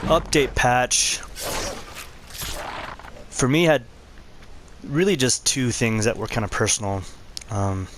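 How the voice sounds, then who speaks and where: Hoarse man hosting a gaming vlog. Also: lowish voice, a bit bright, a little hiss or hum. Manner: laid-back, conversational. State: hoarse, losing his voice. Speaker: channel host.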